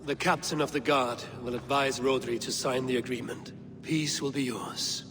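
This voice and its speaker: An actor playing a steady Viking warrior in a video game, with a measured and grave way of talking. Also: male voice, mid-range voice, background noise, natural voice. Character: level-headed Viking warrior, firm negotiator. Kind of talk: dramatic video game acting. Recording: reverberant stone hall.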